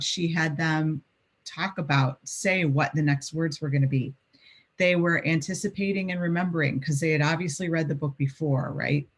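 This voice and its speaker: A woman education instructor giving informal lecture commentary in a conversational way. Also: low-pitched.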